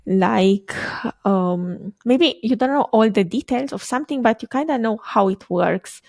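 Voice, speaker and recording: A woman's voice: on the high side, animated, measured pace, a little mellow, dry recording. Young Romanian woman, dry close sound. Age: young adult. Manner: chatty, laughs often, hesitant fillers. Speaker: guest, quantum computing researcher. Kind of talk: casual interview conversation. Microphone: video call microphone.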